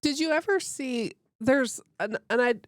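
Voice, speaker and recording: A woman's voice: high-pitched, unhurried, no echo, clean studio sound. A woman podcast host in casual conversation, asking curious questions with hedging fillers.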